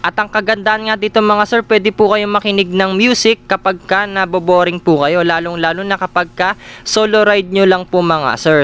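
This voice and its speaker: Filipino guy doing a gear tutorial, polite, pretty high voice, super clear. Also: fairly bright tone. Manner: polite, instructional. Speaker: motorcycle gear reviewer. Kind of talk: step-by-step product tutorial narration.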